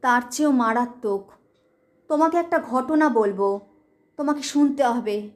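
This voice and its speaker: A woman's voice: fairly high pitch, a little slow, natural voice. A woman voicing a shaken, urgent husband who is haunted, in an audio ghost story. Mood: grave.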